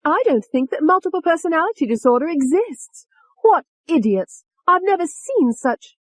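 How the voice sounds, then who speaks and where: Shrill, taunting, furious young woman. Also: expressive, a little fast, no room sound. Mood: angry scorn. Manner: sneering, taunting, explosive. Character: furious, taunting young British woman, a murderous alter personality.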